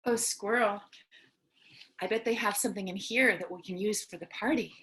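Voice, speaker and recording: A woman playing a lonely, chatty, excitable apocalypse survivor in a theatrical comic staged reading. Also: mid-range voice, normal speed, lightly acted. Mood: eager, musing. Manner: theatrical, chatty, swings between outbursts and cheer.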